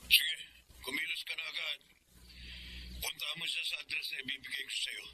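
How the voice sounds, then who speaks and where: A man's voice: a little high, fairly even intonation, normal speed, natural voice. Man acting a stern Filipino crime boss, noisy background. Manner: stern, commanding. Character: commanding male crime syndicate boss ordering killings. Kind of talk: Filipino radio drama acting. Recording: radio drama with clear background noise.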